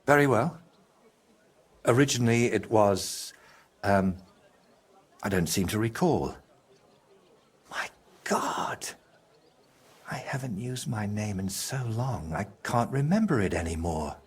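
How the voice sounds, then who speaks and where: An actor playing a troubled, guilt-ridden medieval painter in a video game, with a husky, halting and brooding delivery. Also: male voice, fairly low pitch, animated, heavily acted. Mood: troubled, guilty. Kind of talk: video game dramatic dialogue.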